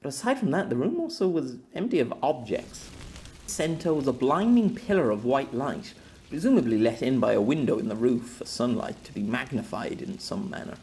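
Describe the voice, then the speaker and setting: A man reading his own fantasy novel aloud as an audiobook, in an even, unhurried narration. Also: medium pitch, slight background noise.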